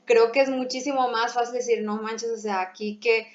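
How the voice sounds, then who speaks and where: A young woman with a Mexican accent chatting as host, lively, laughing often and restarting her phrases. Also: fairly high pitch, moderate pace. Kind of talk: casual conversation. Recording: echoey room, dull lo-fi sound.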